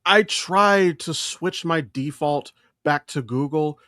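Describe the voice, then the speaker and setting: A man's voice: on the high side, slow, bright, forceful tone, no room sound, clean studio sound. A man co-hosting a podcast in casual pop-culture chat, emphatic and opinionated, swearing freely. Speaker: podcast co-host.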